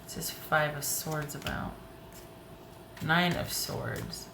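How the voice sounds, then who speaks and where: Low-voiced woman doing a tarot reading, a bit hoarse, talks to herself over the cards, echoey room with faint hiss. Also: flat delivery, slow. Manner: thinks aloud while pulling cards. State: slightly hoarse. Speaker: tarot reader. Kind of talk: casual tarot reading to camera.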